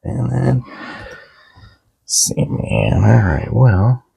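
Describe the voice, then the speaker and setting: Relaxed man with a gravelly voice. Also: medium pitch, extremely slow. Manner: relaxed, chatty about his own plays. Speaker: co-host, opponent player. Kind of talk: casual tabletop game talk.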